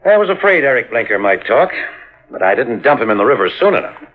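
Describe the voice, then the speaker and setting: A man's voice: mid-range voice, very animated, fast talker. An actor playing a coldly taunting gangster hitman who gives clipped, threatening orders in a 1950s radio crime drama. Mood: smug menace. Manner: clipped commands, coolly threatening. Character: menacing hired killer, coldly taunting tough gangster. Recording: muffled old radio recording, faint music.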